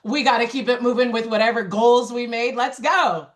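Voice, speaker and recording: A woman's voice: on the high side, normal speed. A woman real estate agent chatting cheerfully on a video call, laughing often. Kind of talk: casual chat on a video call.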